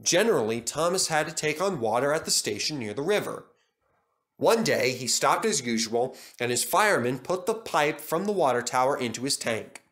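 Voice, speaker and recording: Animated male children's storybook narrator. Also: mid-range voice, normal speed.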